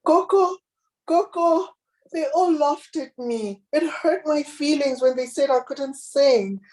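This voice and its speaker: A woman with a husky voice voicing a little girl who is hurt and then proud and determined to keep singing. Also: slow, darkish tone, poor audio. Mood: hurt, tearful. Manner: high, earnest childlike pleading.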